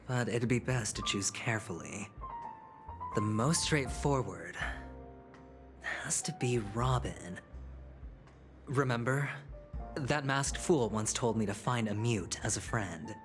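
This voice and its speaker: A man's voice: mid-range voice, slow pace, pauses often, lightly acted. A man voicing a reckless, scheming gambler in a video game, with a playful, calculating manner. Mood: grave, confiding. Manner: playful, calculating, chuckles and sighs often. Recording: background music under dialogue. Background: music.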